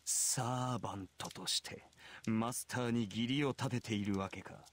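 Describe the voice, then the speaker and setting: A man's voice: mid-range voice, steady tone, quick pace, a little hiss or hum, close to an everyday voice. An actor voicing an arrogant, merciless heroic king in a visual novel, with a haughty, sneering and imperious manner. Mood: cold, contemptuous. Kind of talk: Japanese visual novel voice acting.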